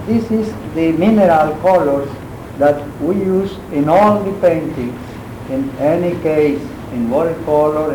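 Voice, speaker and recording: A man with a Mexican accent, Spanish his first language, lectures on painting in English at a very slow, halting pace, pausing often to find his words. His voice sits a little high. The recording is echoey, with clear background noise from a university lecture room. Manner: halting, searching for English words with many pauses. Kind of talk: art lecture.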